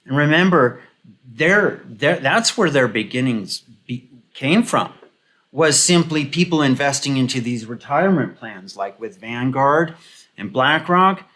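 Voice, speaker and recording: A man hosting an off-the-cuff political commentary video, giving opinions in a halting, rambling, casual way. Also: mid-range voice, bright, forceful tone.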